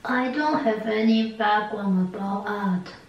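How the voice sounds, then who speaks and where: A woman with a Taiwanese accent answering interview questions in halting, simple non-native phrasing. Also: mid-range voice, muffled recording. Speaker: interviewee, ceramic artist. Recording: echoey room, distant mic, faint noise.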